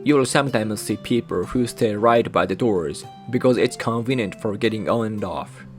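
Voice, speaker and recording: A man with a Japanese accent, a native Japanese speaker, hosting a channel and narrating a scripted guide in even, careful short phrases. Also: on the low side, moderate pace, over music. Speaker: channel host.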